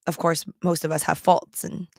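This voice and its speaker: A woman with a worn, raspy voice chats loosely to her viewers, full of ums and likes and a gravelly crackle. Her words are a little thick from the throat candy in her mouth. The sound is dry and close, with no room behind her. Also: deep voice, level tone, normal speed, no echo. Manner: rambling, with many ums and likes; talking around a throat candy. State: strained, worn voice. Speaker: livestream seller and vlogger. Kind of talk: casual chat to camera.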